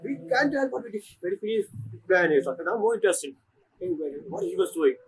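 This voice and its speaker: Animated high-pitched male game streamer. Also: brisk, a little hiss or hum, rough recording. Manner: animated, repeats phrases. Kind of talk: casual reaction commentary.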